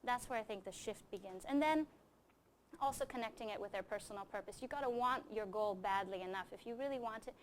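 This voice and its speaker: A woman's voice: on the high side, quick pace, slight background noise. A middle-aged woman who grew up in Japan, speaking as a coach and interview guest in an earnest, emphatic, explanatory way. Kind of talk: conversational interview answers with anecdotes.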